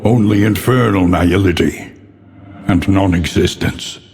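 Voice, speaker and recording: A grave, foreboding narrator for a gothic horror game. His low voice moves very slowly, with theatrical, ornate weight on each phrase. It rings out in a long reverb. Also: a little mellow, slight background noise. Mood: grandiose, dread.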